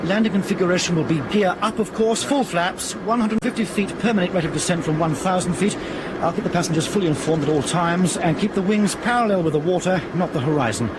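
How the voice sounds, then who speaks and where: High-pitched, commanding British airline captain played by a man. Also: quick pace, heavy background noise, natural voice. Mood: brisk, composed. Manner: clipped, procedural, authoritative. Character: calm, commanding male airliner captain in an emergency. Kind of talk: radio drama acting.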